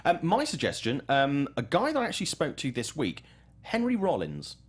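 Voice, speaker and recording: Animated man hosting film chat. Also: fairly high pitch, moderate pace, slightly rough. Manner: opinionated, sweary, enthusiastic. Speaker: film talk show host. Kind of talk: casual entertainment discussion.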